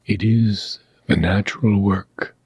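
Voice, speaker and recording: A man reading a philosophical text aloud in a deliberate, measured way, pausing between short phrases and letting phrase ends fade into a breathy whisper. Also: lowish voice, steady tone, a little mellow, dry recording. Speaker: audiobook reader.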